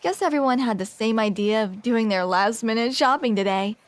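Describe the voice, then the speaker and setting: Casting: female performer as grumpy female barista for ASMR roleplay, higher-pitched, dry sarcasm, awkward halting delivery, soft-hearted underneath. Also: lightly acted. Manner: sardonic, self-deprecating, awkward, halting. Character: grumpy, flustered tsundere barista woman, secretly sweet.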